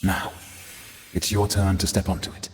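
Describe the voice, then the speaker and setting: A man's voice: sits low, completely flat delivery, moderate pace, natural voice. A man voicing an imperious, theatrical antagonist in a video game. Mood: commanding. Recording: long hall reverb, faint music.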